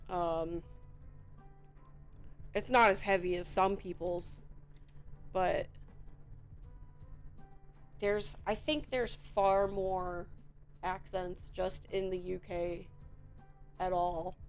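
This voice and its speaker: A Midwestern woman with a Chicago accent, chatting in a rambling, relaxed way while she builds a toy set on stream. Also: on the low side, soft background music. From Midwestern United States. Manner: chatty, rambling, slow and drawn out, with frequent fillers.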